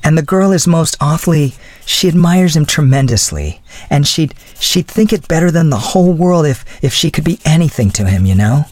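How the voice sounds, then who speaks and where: A man's voice: on the high side, lively intonation, normal speed, a little mellow, dry recording, pitched above the performer's own voice. A man voicing an earnest, flustered young woman in a storybook, speaking in a hesitant, gushing way. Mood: gushing, shy. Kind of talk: storybook dialogue.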